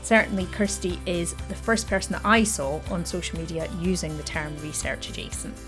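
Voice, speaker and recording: A woman hosting a podcast, reading a clear, upbeat scripted introduction and then interviewing her guest in a friendly way. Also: on the low side, brisk, music in the background. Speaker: podcast host.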